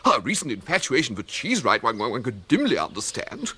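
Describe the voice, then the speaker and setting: An actor playing a mournful, indignant young poet in a radio comedy, pompous and bleating, with an English accent. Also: male voice, medium pitch, wildly swooping pitch, a little fast, pushed and bright, slight background noise. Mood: aggrieved, scornful. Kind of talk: radio comedy drama acting.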